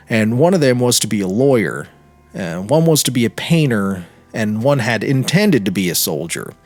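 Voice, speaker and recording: A man narrating a literary short story in a measured, dramatic reading with deliberate pauses. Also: medium pitch. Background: music.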